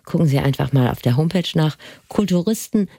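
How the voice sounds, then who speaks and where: A woman's voice: low-pitched, expressive, brisk, dark tone, no echo, studio quality. A woman presenting a radio culture magazine in a conversational, scripted style.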